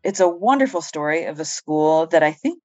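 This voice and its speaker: A woman's voice: sits low, lively intonation, a little fast, darkish tone, no room sound, highs cut off, faint music behind. A woman hosting an interview show, welcoming and upbeat, affirming her guest as she talks. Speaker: host.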